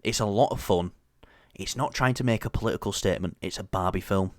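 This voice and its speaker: Low-voiced Yorkshire man reviewing films. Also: fast, dry recording, studio quality. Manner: rambling, lots of fillers and restarts. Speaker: co-host of a movie review show. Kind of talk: casual chat.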